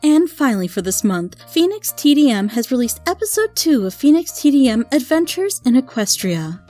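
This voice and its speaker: A woman reviewer reading a scripted critique in a measured, opinionated way. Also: sits high, very animated, mellow, soft background music. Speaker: audio drama reviewer.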